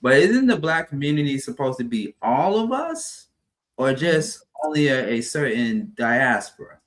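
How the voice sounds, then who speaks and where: A man's voice: mid-range voice, big pitch swings, measured pace. A man who hosts a morning talk show and asks the group reflective questions in a casual tone. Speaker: broadcaster and host.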